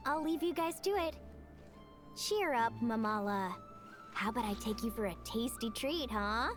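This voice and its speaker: A woman's voice: a little high, expressive, moderate pace, natural voice. A woman playing a spirited, blunt young competitor and good sport in a video game. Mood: gracious in defeat. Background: game music.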